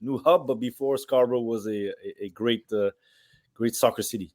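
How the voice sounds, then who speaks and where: A man's voice: medium pitch, moderate pace, a little mellow. A man co-hosting an interview, asking long questions that ramble and stumble. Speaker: co-host.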